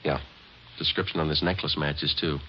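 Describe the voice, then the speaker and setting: A man's voice: extremely low pitch, fairly even intonation, normal speed, slight background noise. An American man playing a terse, matter-of-fact police detective sergeant who also narrates a 1950s radio drama. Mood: businesslike. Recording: muffled old radio recording with music.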